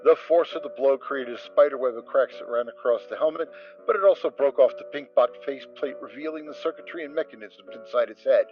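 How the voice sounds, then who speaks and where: A man narrating a dramatic reading of a story, steady storytelling with occasional stumbles. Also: medium pitch, a little fast. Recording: dull lo-fi amateur mic with soft music.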